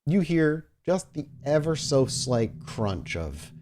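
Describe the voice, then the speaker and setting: A man narrating a tabletop roleplaying game as game master, dramatic, with deliberate pauses. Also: fairly high pitch, very animated, a little mellow, a little hiss or hum. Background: faint music.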